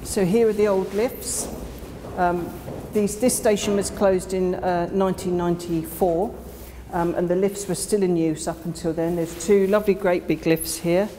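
A woman in middle age leads an informal tour in a low, soft-edged, mellow voice. She explains things as she walks, pausing to find her words. There is some faint noise around her, as in an empty station interior. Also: steady tone. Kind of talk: informal guided tour commentary. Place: indoors in disused underground station, tunnels and train carriage.

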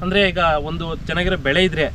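A man's voice: fairly high pitch, fairly even intonation, fast, heavy background noise. A young man from the Mandya area, working as a fencing supplier, answers questions outdoors by a farm fence. He talks like an eager salesman and calls his interviewer sir constantly. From Mandya district, Karnataka.

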